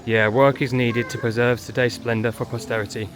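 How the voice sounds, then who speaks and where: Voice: mid-range voice, level tone, brisk. A parent narrating a family vlog in a casual walk-through style, out and about in a theme park. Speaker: vlogger, parent on a family outing. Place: outdoors in a theme park, walking, sometimes inside small buildings. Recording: loud music behind, outdoor park ambience. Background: loud music.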